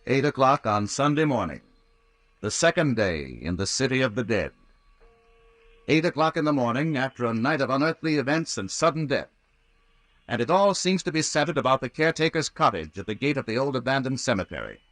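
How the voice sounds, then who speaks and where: A man's voice: mid-range voice, expressive, fairly quick, a bit bright, no room sound. A man narrating a horror serial as a dramatic old-time radio announcer.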